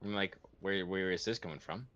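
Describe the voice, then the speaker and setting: Deadpan twenty-two-year-old friend. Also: low voice, brisk, no echo, dull audio. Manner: dry, deadpan teasing. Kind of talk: casual gaming stream chat.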